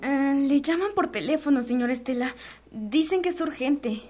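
A woman acting an excitable, superstitious housemaid in a radio soap opera, deferential and dramatic, with a Mexican accent. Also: sits high, moderate pace. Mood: hesitant.

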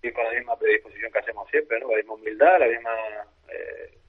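A man with a softened Canarian Spanish accent, a footballer speaking in a phone interview, hesitant and repeating words with many fillers. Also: mid-range voice, fast talker, husky. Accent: Canarian Spanish, a little softened. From Canary Islands. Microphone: telephone. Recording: tinny phone line.

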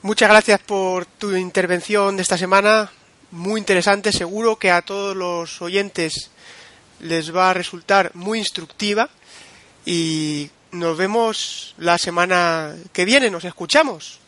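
Bright high-voiced male host, dry sound. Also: unhurried, no echo. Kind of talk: casual political talk.

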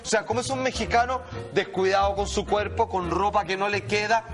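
A man with a Chilean accent giving animated, slangy, emphatic commentary, speaking straight to his listeners. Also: sits high, brisk, music in the background. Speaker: talk show commentator.

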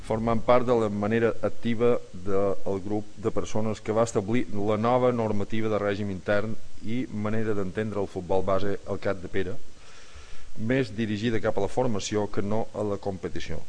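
A man's voice: on the low side, steady tone, a little mellow, low-quality recording. A man with a Mallorcan Catalan accent moderating a youth football panel discussion, introducing speakers and asking questions. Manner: introduces guests in Catalan, then switches to slow, careful Spanish for a foreign guest. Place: conference room.

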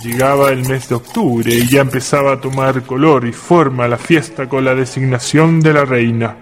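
A man's voice: mid-range voice, fairly even intonation, normal speed, big-room sound, a little hiss or hum, close to an everyday voice. An actor in a community radio drama playing a proud, hospitable father with a Chilean accent, telling stories to his guests in a folksy manner. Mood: genial. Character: proud father of Dalila, genial small-town host.

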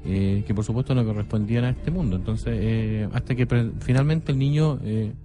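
A man with a Chilean accent telling stories unscripted on radio in a halting, deliberate way, repeating phrases. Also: medium pitch, mellow, soft background music. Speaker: radio co-host and storyteller.

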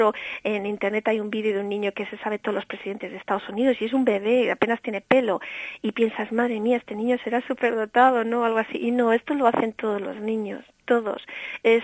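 A woman raised in Australia talks through her daily program in a patient, teacherly way, fond of small gentle words. Her voice sits a little high. The sound is close and dry, with almost no room around it. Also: no echo, poor audio. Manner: explanatory, warm teacherly tone with diminutives. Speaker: early stimulation center director. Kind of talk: radio interview.

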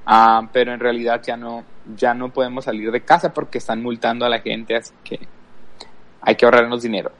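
Male podcast guest, medium pitch, unscripted personal storytelling, hesitant delivery. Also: little pitch movement, a little fast, a bit bright. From El Paso, Texas, USA. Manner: hesitant, thinking aloud. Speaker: podcast guest, worship leader.

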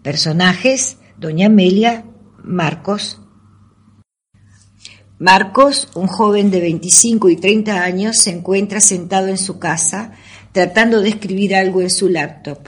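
A woman's voice: medium pitch, measured pace. A woman narrating an amateur radio play from a script. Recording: audible background noise.

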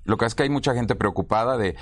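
Un hombre con acento mexicano, coanfitrión, que pregunta con titubeos y algo de broma. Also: male voice, medium pitch, quick pace, fairly bright tone. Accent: Mexican Spanish. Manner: joking, hesitant questions. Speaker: co-host. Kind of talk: casual interview.

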